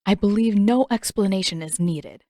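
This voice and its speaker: A woman's voice: medium pitch, normal speed, mellow, dry recording, close to an everyday voice. Grand older female fortune teller for a storybook, acted by a woman, solemn, florid and haughty, very dry sound. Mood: haughty disdain. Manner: lofty, florid, solemn pronouncements.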